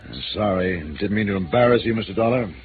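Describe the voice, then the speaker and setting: A man's voice: fairly low pitch, quick pace, lightly acted. An actor playing a wealthy, controlling middle-aged father in 1950s radio drama, formal and stern with clipped speech. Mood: stern, irritated. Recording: muffled, noisy old radio recording.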